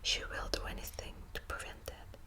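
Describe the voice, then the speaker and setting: A woman's voice: normal speed, sharp tone. A woman whispering close to the mic in an ASMR book video, hesitant with many ums and restarts, also reading passages aloud. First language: Dutch. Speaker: ASMR creator.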